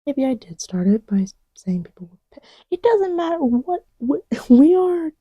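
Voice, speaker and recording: A woman's voice: sits high, very animated, moderate pace, dark tone, no room sound. A woman chatting casually and intimately in a playful, rambling, teasing way, often dropping to a near-whisper, as if to a partner beside her. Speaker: audio roleplay creator playing a partner to the listener. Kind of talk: casual intimate roleplay chat while testing markers.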